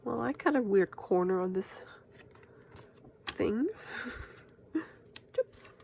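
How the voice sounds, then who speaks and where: A woman with a German accent chats away at a middle pitch while she works on a craft at home, laughing often and talking to herself as she goes. A faint hiss sits under her voice. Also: expressive, slow pace, vocal fry, dark tone. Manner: chatty and self-amused, laughs often, talks to herself while working. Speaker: crafting channel host. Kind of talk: casual crafting chat while working.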